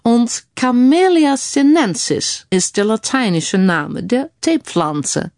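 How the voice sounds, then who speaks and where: A woman voicing a suave, wry British gentleman posing as an earl in an audio crime story, sprinkling English phrases into speech. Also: on the high side, animated, takes it slowly, no room sound, studio quality, pitched above the performer's own voice. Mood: playfully smug.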